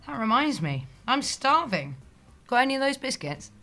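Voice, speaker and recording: A woman's voice: high-pitched, very animated, moderate pace, bright, forceful tone, soft background music, heavily acted. Blunt, sardonic British woman. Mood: dry, casual. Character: blunt, impatient, sardonic female bureau worker. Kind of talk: audio comedy acting.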